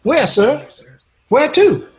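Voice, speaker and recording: A man plays a humble, God-fearing townsman in an old radio western. His voice is high and his manner is meek. He speaks with polite deference. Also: sing-song, takes it slowly, heavily acted. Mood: humble, earnest.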